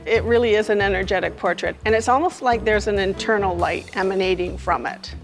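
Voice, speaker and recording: A woman's voice: medium pitch, fairly quick, soft background music. A woman giving reflective, conversational commentary on art, as in an interview.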